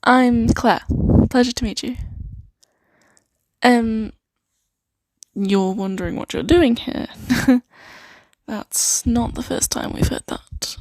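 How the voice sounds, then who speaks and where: A woman playing a friendly, flustered sanctuary worker in an improvised fantasy ASMR roleplay, talking in a hesitant, halting, awkward way. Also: on the high side, a little mellow, no echo. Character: friendly, flustered young female sanctuary worker named Claire.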